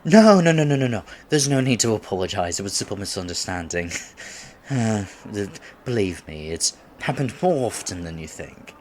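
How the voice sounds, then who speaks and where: A man's voice: lowish voice, big pitch swings, moderate pace, a little hiss or hum. A man in his twenties playing a nervous, polite young silver fox kitsune who wants to seem mature, in an ASMR date roleplay, speaking formally with stammers. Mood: flustered, apologetic. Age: 20s. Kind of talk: ASMR roleplay, scripted one-sided date conversation.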